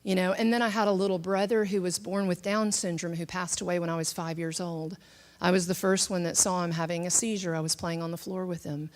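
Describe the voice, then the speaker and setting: A woman's voice: lowish voice, level tone, a little fast. A middle-aged woman from the southern United States gives a conversational church teaching and shares her testimony, with many fillers and restarts. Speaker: speaker at a church teaching session. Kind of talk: teaching talk mixed with personal testimony.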